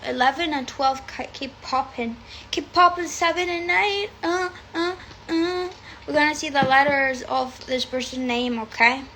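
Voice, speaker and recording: A woman's voice: sits high, creaky, a bit bright, slight background noise. A woman whose first language is Arabic, chatting casually as a tarot reader on a phone livestream from a room at home, rambling and swearing freely.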